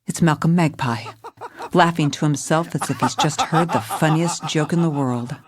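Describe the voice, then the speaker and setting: A woman's voice: low-pitched, normal speed, a crowd in the background, lightly acted. An actress playing a hard-boiled, weary female police commissioner in a noir audio drama, with a wry, clipped delivery. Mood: tense narration. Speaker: narrator and lead character.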